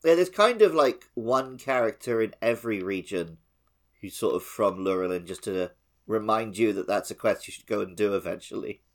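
A man's voice: fairly low pitch, animated, moderate pace. A man co-hosting a casual gaming podcast, laid-back, with a dry teasing sense of humor. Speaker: podcast co-host.

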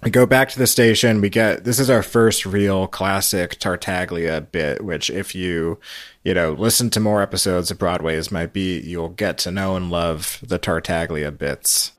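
A man's voice: deep voice, moderate pace. A man hosting a recap podcast, talking through a story in casual commentary on a podcast microphone. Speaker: podcast host.

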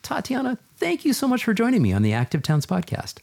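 A man's voice: extremely high pitch, huge pitch swings, fast, gravelly, darkish tone, slightly rough, soft background music. A man hosting a podcast, chatting in an effusive, enthusiastic way and repeating words for emphasis in a casual interview. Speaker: podcast host. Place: video call recording.

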